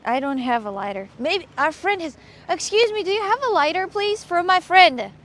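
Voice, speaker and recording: A woman from Russia, streaming casual chat on a street with her phone, excitable and playful. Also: very high-pitched, moderate pace.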